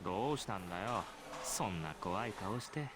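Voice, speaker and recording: A man's voice: fairly low pitch, lively intonation, quick pace, background noise, close to an everyday voice. A man voicing a smug, sneering teenage schoolboy in a visual novel, with a mocking, flippant, theatrical delivery. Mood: smug mockery. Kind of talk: Japanese visual novel voice acting.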